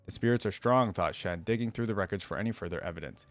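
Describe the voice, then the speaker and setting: A man's voice: on the low side, quick pace, no room sound, faint music behind. A man hosting a reaction video, moving between casual commentary and reading story text aloud.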